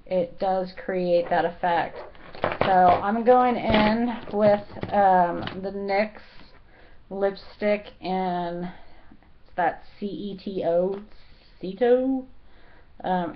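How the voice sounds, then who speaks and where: Slow rambly woman doing a makeup tutorial, kinda hoarse, muffled lo-fi audio. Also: mid-range voice, a little hiss or hum. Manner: rambling, filler-heavy, halting. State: slightly hoarse. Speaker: beauty vlogger. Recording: dull lo-fi home recording.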